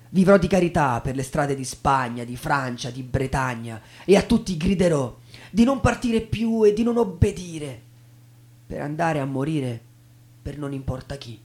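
A man's voice: mid-range voice, darkish tone. A man who narrates and recites literary texts as a theatrical stage performer.

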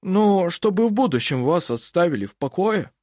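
A man's voice: high-pitched, sing-song, normal speed, darkish tone, no echo, studio quality, voice pushed up. A man voicing a naive, timid male sheriff in an audiobook, fawning and stammering. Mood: nervous.

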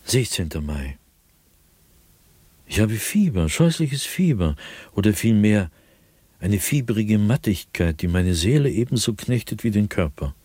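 Radio play lead: an anguished, haunted writer, husky, slow and brooding, with widely swinging pitch. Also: male voice, sing-song, mellow, dry recording, full character voice. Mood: anxious, oppressed. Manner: brooding, deliberate, dramatic pauses. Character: anguished male writer haunted by an invisible tormentor. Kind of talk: radio drama monologue, diary reading.

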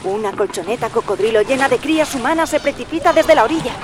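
An actress playing a solemn, deadpan female narrator of a parody nature documentary on radio. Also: a little high, fast, very noisy, natural voice. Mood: solemn wonder.

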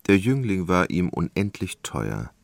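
Really low gravelly guy reading literary narration for a radio play, super dry close sound. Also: sing-song, a little slow, dry recording.

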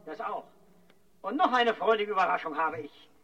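A man's voice: high-pitched, expressive, moderate pace, sharp tone, breathy edge, far from the mic, lo-fi sound, full character voice. A man playing a formal ground-station radio operator with a dry, ironic delivery.

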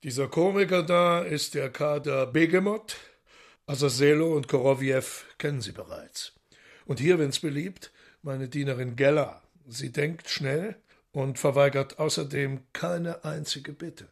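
A sardonic devil lord holding court in a radio play. The voice is husky and cracked with gravel, slightly high for a male, with courtly phrasing and imperious mockery. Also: measured pace. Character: commanding, sardonic devil holding court among his retinue.

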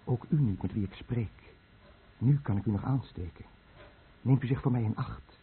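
A man's voice: mid-range voice, moderate pace, natural voice. An actor playing a dying, guilt-ridden fisherman who tells of his lost village in a brooding, bitter radio drama monologue. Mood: urgent warning. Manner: brooding, bitter, confessional monologue addressed to the listener. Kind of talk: Dutch-language radio drama narration. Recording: muffled narrow-band old radio recording, faint noise.

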